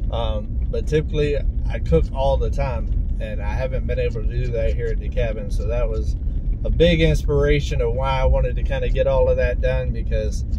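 Rambling man vlogging from his truck. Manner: relaxed, rambling, frequent uh fillers. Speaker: homesteading vlogger. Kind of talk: casual vlog talk to camera. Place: inside a moving truck on a country road. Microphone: phone or camera on the dashboard. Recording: clear road and engine noise. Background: truck engine and road rumble.

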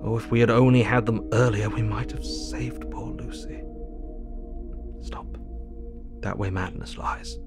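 An actor playing a thoughtful Victorian doctor reading his diary aloud in a formal, measured, reflective way. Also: on the low side, steady tone. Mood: regretful. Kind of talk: dramatic audio diary narration. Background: music.

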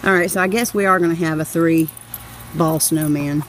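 A woman's voice: deep voice, steady tone, moderate pace, a bit bright, a little hiss or hum, rough recording. A woman, chatting and giggling affectionately as she films a home video outdoors in a snowy yard on a handheld phone camera. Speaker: vlogger filming her husband. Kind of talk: casual home video narration.

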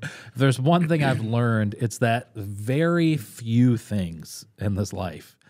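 A man's voice: medium pitch, expressive, takes it slowly, darkish tone. A man in his thirties hosting a podcast in casual conversation, speaking into a close studio microphone. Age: 30s. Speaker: podcast host. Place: small podcast studio indoors. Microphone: close studio mic on boom arm, headphones.

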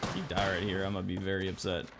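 A man's voice: low voice, little pitch movement, racing, heavy background noise. A man giving casual, conversational and opinionated commentary as a video game streamer.

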